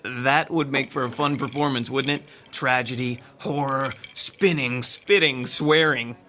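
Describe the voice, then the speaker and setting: A man's voice: medium pitch, steady tone, unhurried, sharp tone, slight background noise. An actor playing a sardonic, sceptical theatre man, needling with dry, mock-theatrical sarcasm in radio drama dialogue.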